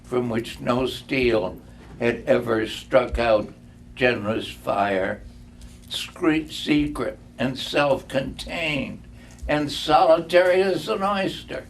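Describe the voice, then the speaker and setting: An older man reads a storybook aloud at an unhurried pace. His voice is gravelly, and he gives words deliberate, dramatic weight. There is faint noise behind him. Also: mid-range voice. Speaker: reader of a classic story. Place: live reading in a room.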